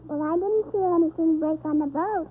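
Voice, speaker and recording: A man's voice: very high voice, normal speed, slight background noise, pitched above the performer's own voice. A man voicing a cheeky, innocent, literal-minded little girl in a 1930s radio comedy act, full of naive questions. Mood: puzzled. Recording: tinny 1930s radio recording, music.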